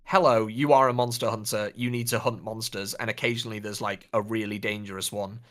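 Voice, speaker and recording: A man's voice: on the low side, steady tone, a little fast, a bit bright. A man co-hosting a podcast, chatting casually about films and games. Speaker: podcast co-host.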